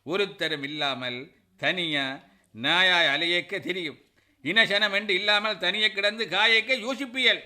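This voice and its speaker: A man playing a scornful, bright-voiced brother-in-law. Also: high-pitched, unhurried, full character voice. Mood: angry. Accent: Sri Lankan Tamil. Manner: scornful, forceful, threatening. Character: bitter middle-aged man breaking off ties with his brother-in-law. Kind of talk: radio drama acting.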